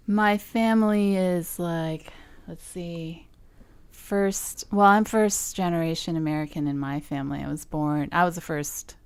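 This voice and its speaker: A woman's voice: lowish voice, steady tone, a little slow, smooth, no room sound. A young woman from Southern California, chatting casually in an interview, with lots of likes and ums. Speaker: guest musician.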